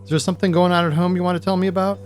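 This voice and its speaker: An actor playing a middle-aged veteran ex-cop, a steady, supportive friend speaking casually in a crime audio drama. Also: male voice, high voice, racing, close to an everyday voice. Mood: concerned. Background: guitar music.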